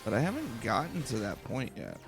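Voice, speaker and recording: Man with a slightly creaky voice, musing. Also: mid-range voice, huge pitch swings, a little fast, music in the background. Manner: muses aloud to himself. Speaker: let's play streamer. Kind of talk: casual, low-key video game commentary.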